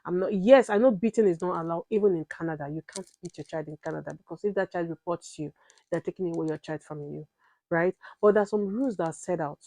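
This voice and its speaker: A woman's voice: on the low side, fast, dark tone, no room sound. A woman in her thirties with a Nigerian accent, chatting casually to the camera as a vlogger and mother, often repeating herself and tagging on "right?". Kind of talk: casual sit-down vlog talk.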